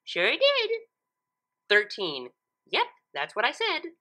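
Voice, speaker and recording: A woman voicing a cheerful, scatterbrained young female cartoon pony with a giggly, excitable, breezily confident manner. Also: on the high side, slow, pitched above the performer's own voice, full character voice.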